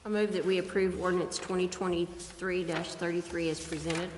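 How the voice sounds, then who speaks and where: A woman council member with a fairly low voice makes motions and votes in a terse, formal way. Her words carry a long reverb from the chamber, with faint hiss underneath. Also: level tone, brisk.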